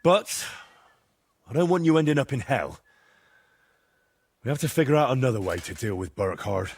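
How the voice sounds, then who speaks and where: An actor playing a blunt, loyal young medieval adventurer in a video game, questioning and sardonic, with a slightly rough voice. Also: male voice, mid-range voice, sing-song, moderate pace, darkish tone. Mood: resolute. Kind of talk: video game dialogue acting.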